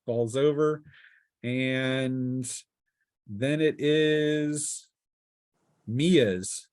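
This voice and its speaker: A man with an average-pitched voice acts as game master at a tabletop game. He narrates casually and jokes and exclaims as he goes. The sound is dry, with little room in it. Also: darkish tone, dry recording. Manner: informal, jokey, exclaiming.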